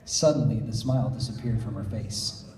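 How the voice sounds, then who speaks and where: A man's voice: fairly low pitch, normal speed, darkish tone, slight background noise. A man reading fan fiction live as a panel host, with playful asides and comic banter. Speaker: panel host and story reader. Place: convention panel room. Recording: echoey room, distant mic.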